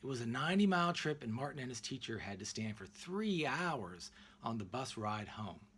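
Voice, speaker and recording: A man reading a children's picture book aloud expressively, in deliberate phrases with emphatic pauses. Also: medium pitch, very animated, fairly bright tone, breathy edge. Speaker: read-aloud narrator.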